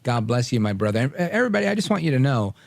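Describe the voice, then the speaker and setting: A man's voice: medium pitch, big pitch swings, very fast, vocal fry, dry recording. A man hosting a late night talk radio show, greeting listeners with energy and leaning on fillers as he talks. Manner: upbeat, hypes the audience, many fillers.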